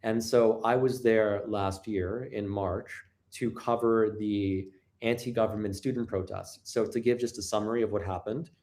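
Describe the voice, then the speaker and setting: Canadian male guest, low-pitched, measured, hedging delivery. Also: darkish tone. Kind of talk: interview answers.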